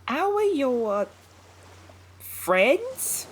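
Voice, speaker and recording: A gentle, emotional fantasy mother. Her high voice moves slowly and haltingly, full of tenderness. Faint ambience lies behind her. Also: sing-song, a little hiss or hum, exaggerated voice. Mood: warm, anxious. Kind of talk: fantasy audio drama acting.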